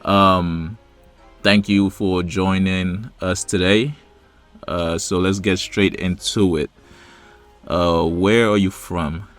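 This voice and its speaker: A man's voice: low voice, steady tone, slow, faint music behind. A man hosting a podcast, asking questions in a hesitant way, often repeating his words. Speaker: podcast host. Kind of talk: casual interview.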